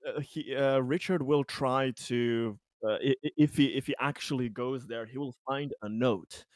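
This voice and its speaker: Male tabletop player, medium pitch, casual improvised narration, dry close sound. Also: moderate pace, a little mellow, no room sound. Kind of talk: casual improvised roleplay chat.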